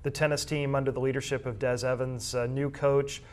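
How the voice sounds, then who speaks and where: Measured man talking school sports. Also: mid-range voice, flat delivery, darkish tone. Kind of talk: conversational sports discussion.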